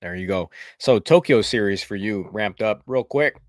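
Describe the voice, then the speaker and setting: A man's voice: on the low side, moderate pace, no echo, clean studio sound. A man with a stuffy, congested voice hosting a casual live sports chat in a rambling, filler-heavy way. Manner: rambling, chatty, many fillers. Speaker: podcast host.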